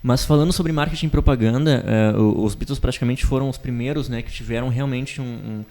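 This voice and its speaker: A man's voice: mid-range voice, fairly quick, darkish tone. A young man telling a story in casual podcast chat, full of hesitations and tag questions. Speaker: podcast co-host.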